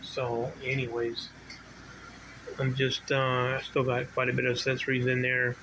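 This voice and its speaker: A middle-aged or older man giving a casual, unscripted video walkthrough as a hobby collector, rambling and halting as he restarts his sentences. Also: mid-range voice. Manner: rambling, halting, self-interrupting. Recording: clear steady background noise, ambient hum.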